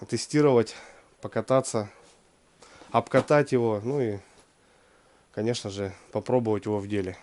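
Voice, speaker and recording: A man's voice: medium pitch, mellow. Man vlogging outdoors, getting over a cold. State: recovering from a cold. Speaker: fishing vlogger presenting a gear review. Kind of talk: casual outdoor vlog. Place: outdoors in deep snow by a garage.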